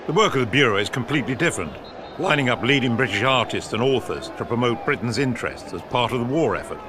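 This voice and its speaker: Man acting a gruff, animated wartime official. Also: medium pitch, quick pace, lightly acted. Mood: brisk, cautioning. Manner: clipped, authoritative, dry. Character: gruff, opinionated senior government propaganda official. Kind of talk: period audio drama acting. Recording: outdoor sports crowd and noise behind.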